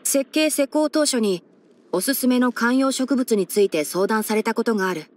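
A woman's voice: on the high side, expressive, normal speed, lightly acted. A woman voicing a young male fox-eared ranger in a video game, scholarly and sensible, speaking in a composed, articulate, mildly wry way. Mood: dry, cautioning. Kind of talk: scripted video game character voice-over.

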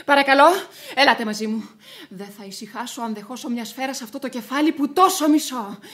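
A woman's voice: on the high side, fairly bright tone, natural voice. An actress playing a proud, indignant woman in a radio play, imperious yet wavering, on an old radio recording. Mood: scornful. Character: fiery, indignant, proud woman, torn by attraction. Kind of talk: radio theatre acting.

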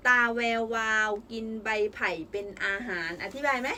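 A woman's voice: fairly high pitch, moderate pace, pushed and bright. A young mother reading a picture book aloud with her child, asking encouraging sing-song questions. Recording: voice picked up from a distance with faint noise.

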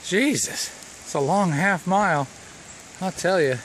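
A man's voice: high-pitched, unhurried, a bit bright. A man casually narrating a rainy fall hike and campground tour, with offhand, wry asides. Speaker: travel vlogger. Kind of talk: casual narration while hiking and driving. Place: outdoors on forest trails and a campground in the rain. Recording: noisy outdoor camera audio.

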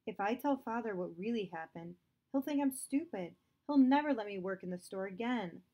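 A woman reading aloud, voicing an anxious son who hesitates and stammers, pitched higher than her narration. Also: expressive, moderate pace, lo-fi sound, natural voice. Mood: defensive. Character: anxious young son caught in a lie.

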